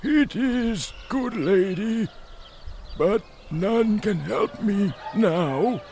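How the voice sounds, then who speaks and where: A man playing a suffering, despairing medieval leper in an audio drama, speaking in a halting, pained way. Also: sits high, expressive, a little mellow, slight background noise, exaggerated voice. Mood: pained, warning.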